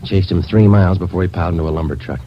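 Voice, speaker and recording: An actor playing a terse, businesslike police detective sergeant in a 1940s radio drama, clipped and deadpan. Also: male voice, low-pitched, racing, a little hiss or hum, close to an everyday voice. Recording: dull vintage radio recording with music.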